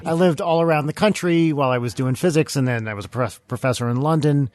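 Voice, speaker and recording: A man from North Jersey with a mild Northeastern American accent, a physicist guest speaking in relaxed, casual conversation. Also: a little high, fast talker, no room sound. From North Jersey, USA. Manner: relaxed, conversational, restarts often. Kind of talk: casual panel chat.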